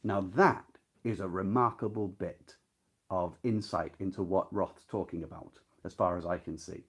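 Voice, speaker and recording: A man's voice: low voice, normal speed, slightly rough. Man informally talking through a book. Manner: conversational and explanatory, often addressing the listener with questions. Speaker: host of a book readalong. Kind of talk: informal literary discussion with short read-out passages.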